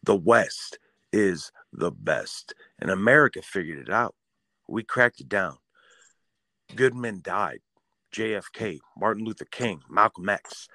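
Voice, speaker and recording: Deep-voiced, fired-up male podcaster. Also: animated, measured pace, bright, forceful tone, no room sound. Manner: fired-up, ranting, profane. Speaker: podcast co-host. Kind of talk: casual podcast chat.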